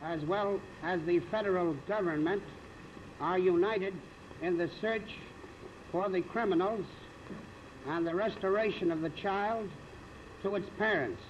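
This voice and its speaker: Slow, high-pitched American man declaiming. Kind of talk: formal prepared public statement. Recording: muffled 1930s newsreel, faint noise.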